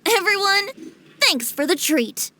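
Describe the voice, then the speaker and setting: A woman's voice: extremely high pitch, very animated, takes it slowly, bright, forceful tone, slight background noise, exaggerated voice. A woman playing a playful, whimsical young male bard in a video game dub, with a breezy, theatrical, teasing delivery. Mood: cheerful.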